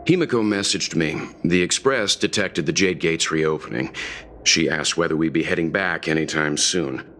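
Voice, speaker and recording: An actor voicing a calm, measured older male mentor in a video game, speaking reflectively. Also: rumbling low, slight background noise. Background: game music.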